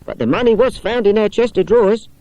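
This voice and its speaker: A man's voice: high-pitched, fast, darkish tone, pitched above the performer's own voice, natural voice, poor audio. A man voicing a loyal, talkative lady's companion in a storybook, earnest, emphatic and confiding. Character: loyal, talkative woman, companion to an old lady.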